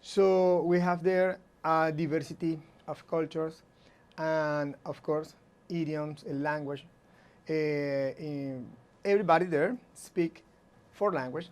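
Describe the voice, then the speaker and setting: A middle-aged man from Aruba, explaining things haltingly in English as an interview guest. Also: a little high, fairly even intonation, clear, resonant tone. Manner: halting, searching for English words. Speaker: visiting music teacher and guest.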